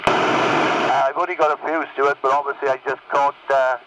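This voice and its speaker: A man's voice: medium pitch, little pitch movement, normal speed. A man chatting casually as an amateur operator over CB radio. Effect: CB radio transmission. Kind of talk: casual radio net chat. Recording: noisy, distant CB radio signal.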